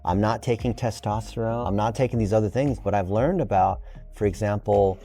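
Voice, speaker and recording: Low, mellow man in his fifties, emphatic. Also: brisk, faint music behind. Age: early 50s. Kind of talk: enthusiastic conversational explaining.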